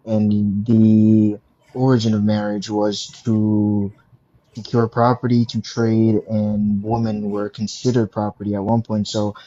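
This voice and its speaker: A man in his twenties calling into a live stream, laying out his argument slowly with frequent fillers. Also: on the low side, monotone, dark tone. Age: 20s. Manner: measured, drawn-out argument with fillers. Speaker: caller. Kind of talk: debating on a call-in stream. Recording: dull lo-fi call audio.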